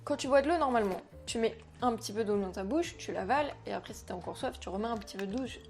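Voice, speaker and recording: A young woman, a French native speaker, chatting to camera in a slangy, animated way, talking about herself as a vlogger. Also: on the high side, fast talker. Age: young adult. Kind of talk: casual chat to camera.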